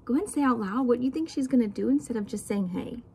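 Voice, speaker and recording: A woman reading a children's storybook aloud as a teacher, expressive and speaking directly to young listeners. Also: a little high, racing, vocal fry, slightly rough.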